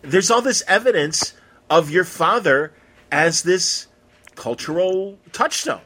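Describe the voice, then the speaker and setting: A man hosting a casual interview, putting his questions in an informal, halting and rambling way. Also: sits high, animated, a bit bright.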